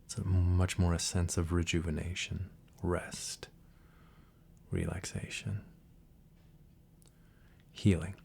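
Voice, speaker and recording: Very deep, hushed male ASMR voice. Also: little pitch movement, slow, long pauses. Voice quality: soft, breathy, husky, sometimes near a whisper. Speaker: ASMR video creator and reader. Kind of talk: soft-spoken ASMR talk and poem reading.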